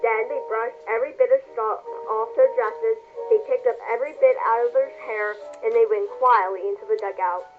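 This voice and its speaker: A woman's voice: fairly high pitch, fairly even intonation, moderate pace, few pauses. A female voice reading a storybook narrative aloud. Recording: muffled phone-like line, music behind.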